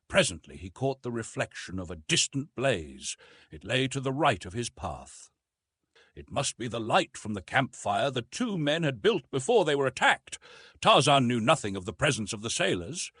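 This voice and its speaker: A man's voice: medium pitch, fairly bright tone, breathy edge, no room sound. A man narrating an adventure novel as an audiobook in a theatrical, suspenseful storytelling style.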